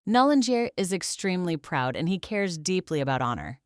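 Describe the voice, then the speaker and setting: A woman voicing a gentle, clever teenage girl in a fantasy audiobook, calm and quietly teasing. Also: fairly low pitch, brisk, no echo, studio quality. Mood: calm explaining. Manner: soft, calm, composed. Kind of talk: audiobook fantasy character dialogue.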